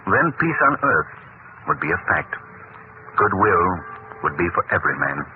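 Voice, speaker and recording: A man's voice: low-pitched, very animated, moderate pace. Assured, benevolent leading man for vintage radio drama: earnest, courteous, widely varied intonation. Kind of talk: 1940s radio drama acting. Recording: tinny, noisy old radio recording.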